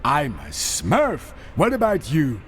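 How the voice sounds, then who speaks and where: A man's voice: fairly high pitch, huge pitch swings, slow, full character voice. A man voicing a playful, naive, dim-witted little cartoon creature in a video game, with a guileless, childlike manner. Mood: friendly, playful.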